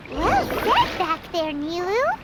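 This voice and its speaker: Squeaky little fairy sidekick. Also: female voice, huge pitch swings, takes it slowly, very noisy, heavily acted. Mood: curious, admiring. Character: small perky childlike fairy companion, eager and feisty. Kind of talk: video game voice acting. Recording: loud music behind the voice.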